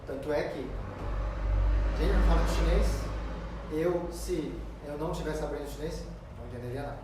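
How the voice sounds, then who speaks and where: A man preaches from far across a very echoey church sanctuary, his slightly high voice carrying through the noisy room. His delivery is emphatic, slowing down on key words and swinging between loud and hushed. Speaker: pastor. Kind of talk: expository sermon.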